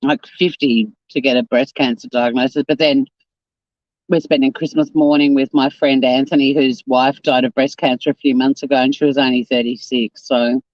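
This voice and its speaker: A man's voice: medium pitch, little pitch movement, moderate pace, no room sound, dull audio. A man in his fifties chatting casually on a live stream, reading out and answering viewer comments. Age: 50s. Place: home.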